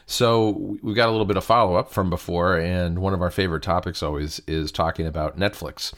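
A man co-hosting a podcast, his voice very low and a bit stuffed up from a cold. He talks in an easygoing, conversational way with a few ums and restarts. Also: fairly even intonation, brisk, a bit bright. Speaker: podcast co-host. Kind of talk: casual podcast chat.

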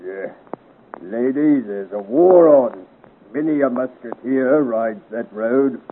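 Unhurried male voice, battle-scarred cutthroat, rough and boastful, thin hissy old radio recording. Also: mid-range voice, narrow, tinny audio. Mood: swaggering, mercenary. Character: swaggering, mercenary cutthroat lockbreaker, battle-scarred swordsman. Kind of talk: 1950s radio serial acting.

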